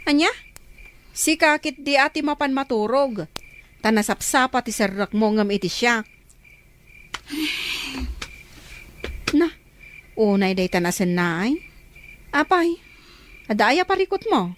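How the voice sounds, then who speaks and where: A woman's voice: a little high, lively intonation, slow, pauses often. A woman acting a teasing, nagging older sister in a radio drama. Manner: teasing, scolding.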